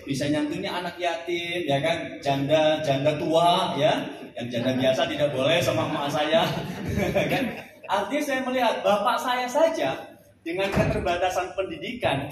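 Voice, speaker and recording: A man's voice: high-pitched, slight background noise. A man in his forties from Central Java, giving a jokey motivational seminar talk and leading the crowd in call-and-response. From Central Java, Indonesia. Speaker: seminar speaker, business coach. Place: large indoor hall. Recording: echoey hall recorded from far off.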